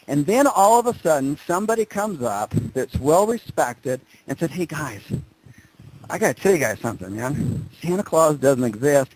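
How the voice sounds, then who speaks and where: A man's voice: on the high side, a little mellow, slightly rough, poor audio. A man giving a motivational presentation, telling stories informally and repeating phrases for emphasis. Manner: informal, conversational storytelling. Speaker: motivational speaker.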